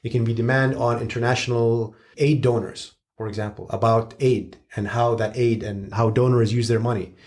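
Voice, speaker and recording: A man from Syria giving long, measured, analytical answers as a guest expert, with frank asides. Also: medium pitch, fairly even intonation, darkish tone.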